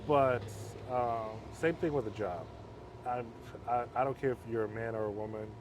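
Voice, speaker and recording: Male counselor guest, measured and flat-toned, casual talk recorded outside near traffic. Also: medium pitch. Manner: calm, measured, self-described monotone. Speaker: interview guest, halfway home counselor. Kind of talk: casual conversation. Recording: outdoor street noise.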